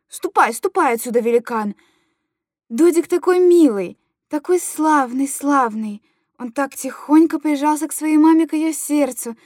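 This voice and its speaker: Woman acting a high-voiced tender mother soothing her child. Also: a little mellow, dry recording, studio quality. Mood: playful scolding, then tender, playful. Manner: cooing, repetitive, childlike storytelling to a small child. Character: anguished tender young mother soothing her little boy. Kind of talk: dramatic literary monologue reading.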